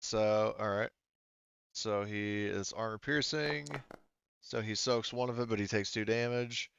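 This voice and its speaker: Low, smooth-voiced man running a game. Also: measured pace, a bit bright, no echo, dull audio. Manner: casual, explains rules step by step. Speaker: game master. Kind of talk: casual tabletop game refereeing.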